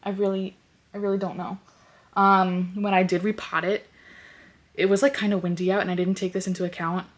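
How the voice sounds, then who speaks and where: A woman's voice: medium pitch, little pitch movement, fairly quick. A young woman with a stuffy, congested nose, chatting casually in her bedroom and rambling with lots of fillers. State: congested, sniffly. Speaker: lifestyle vlogger. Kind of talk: casual vlog chat. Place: bedroom at home.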